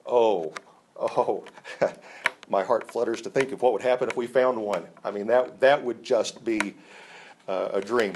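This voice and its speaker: A man's voice: lowish voice, a little mellow, slight background noise. An enthusiastic man teaching a Bible class, talking conversationally with frequent restarts and fillers.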